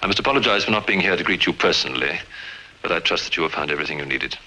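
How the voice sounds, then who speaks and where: A man's voice: sits low, animated, rapid-fire, bright, forceful tone, slight background noise, full character voice, rough recording. An actor playing a commanding male vampire count, speaking in a coolly formal, courteous and menacing manner in 1950s horror film style.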